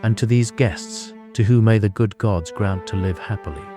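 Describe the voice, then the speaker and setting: A man's voice: lowish voice, normal speed, lightly acted. A man playing a weary wandering hero king in an epic audio drama, imploring in solemn, formal speech. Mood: solemn pleading. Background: orchestral string music.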